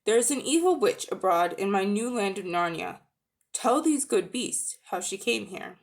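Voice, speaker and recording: Woman as a great male lion, storybook character, low, solemn, stern, regal, measured. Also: animated, vocal fry. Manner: grave, measured, formal.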